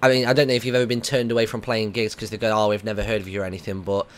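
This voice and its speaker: A man's voice: on the low side, level tone, rapid-fire. A British man hosting a casual radio interview, asking chatty, informal, rambling questions. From United Kingdom.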